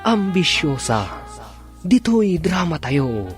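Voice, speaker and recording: A man's voice: high-pitched, big pitch swings, slow pace, faint music behind. A man narrating and announcing a radio drama from a script.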